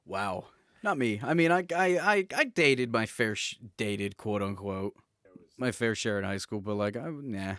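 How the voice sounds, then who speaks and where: A man's voice: on the low side, lively intonation, a little slow, fairly bright tone, dry recording. A man in his twenties co-hosting a casual podcast, informal and slangy, often searching for his words. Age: 20s. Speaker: podcast co-host.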